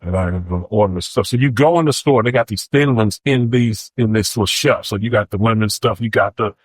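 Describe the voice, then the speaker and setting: A man in his forties with a lowish voice spins a loose, laid-back story on a podcast. The recording is dry and close. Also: fairly quick, no echo. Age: 40s. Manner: relaxed, rambling, says "bro". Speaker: podcast co-host. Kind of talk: casual storytelling chat.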